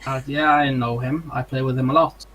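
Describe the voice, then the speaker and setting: A man's voice: mid-range voice, fairly even intonation, a little fast, fairly bright tone. Hesitant Norwegian-accented young man. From Norway. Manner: hesitant, many ums and uhs. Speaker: streamer, interview guest. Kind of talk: casual interview answers.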